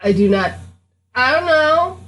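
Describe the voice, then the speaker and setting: A woman's voice: high-pitched, expressive, unhurried, fairly bright tone, faint music behind. A woman playing a tabletop roleplaying game, bantering playfully in and out of character.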